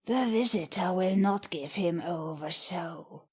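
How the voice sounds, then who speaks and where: A woman's voice: lowish voice, slow pace, slightly rough. A woman playing a mocking, cynical nobleman who makes dry asides in a Shakespeare audiobook. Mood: sardonic. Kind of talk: dramatic Shakespeare audiobook reading.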